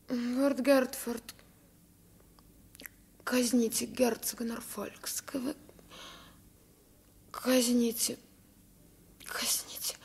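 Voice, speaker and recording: A woman's voice: a little high, gravelly, a little mellow, husky, exaggerated voice. A woman playing an imperious young royal boy who talks in his sleep, in a theatrical radio play. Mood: drowsy, imperious.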